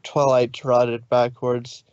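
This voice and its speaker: A man's voice: medium pitch, level tone, takes it slowly, a little mellow, smooth, no room sound. A man narrating a fan story in a casual stream reading, with occasional slips. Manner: plain reading with slips and laughs. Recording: dull dry lo-fi stream audio.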